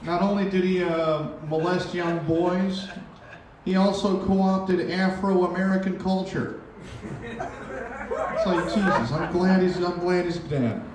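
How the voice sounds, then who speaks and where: A man's voice: high-pitched, slow, far from the mic, noisy background. A forty-year-old man from Japan performing a crude, self-deprecating stand-up routine on stage. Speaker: stand-up comedian. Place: comedy venue on stage. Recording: echoey live club recording with crowd. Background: audience.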